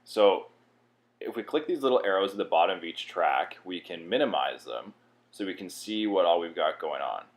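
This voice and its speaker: A man with a low voice presents a software tutorial. He explains each step in an informal way, as if guiding a beginner through it. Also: lively intonation, normal speed.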